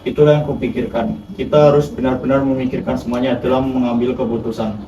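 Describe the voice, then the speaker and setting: A man plays a cautious nationalist in an amateur historical drama. He talks formally at a quick clip, in a noisy, echoey room away from the microphone. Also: medium pitch, level tone, natural voice. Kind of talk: amateur historical film acting, formal scripted dialogue. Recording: echoey room, distant mic, clear noise.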